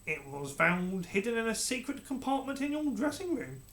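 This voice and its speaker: A narrator voicing a scheming male royal adviser who speaks slowly and soothingly. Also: mid-range voice, sing-song, fairly bright tone, voice pushed up. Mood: accusing. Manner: slow, measured, falsely soothing. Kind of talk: storybook character reading.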